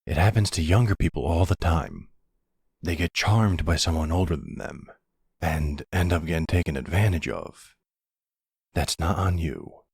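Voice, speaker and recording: Man acting a very deep, gravelly mafia boss. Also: a little mellow, no echo, clean studio sound, heavily acted. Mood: reassuring, protective. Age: middle-aged. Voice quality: gravelly, husky, breathy. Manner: soothing, unhurried, full of pet names. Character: older silver-fox mafia boss, protective, tender lover. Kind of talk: intimate ASMR boyfriend roleplay acting.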